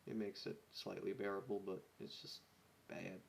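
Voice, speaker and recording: A man's voice: deep voice, flat delivery, normal speed, creaky, slight background noise. A man reading fan fiction casually, breaking off into exasperated, sarcastic asides.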